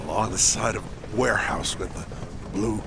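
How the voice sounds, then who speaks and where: A man playing an aging, wounded masked hero in an audio drama, weary, gritty and gravelly, straining through pain. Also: lowish voice, normal speed. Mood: pained, exhausted. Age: middle-aged. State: pained, struggling for breath. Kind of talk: superhero audio drama acting. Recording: loud ambient noise under the voice.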